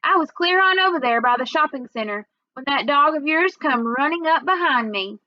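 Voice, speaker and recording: A woman's voice: sits high, lively intonation, moderate pace, dry recording, lo-fi sound, pitched above the performer's own voice. Storybook oddball, a woman reader as a folksy, mildly indignant man, close dry sound. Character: eccentric, folksy, unhurried grown man who rides old bicycle.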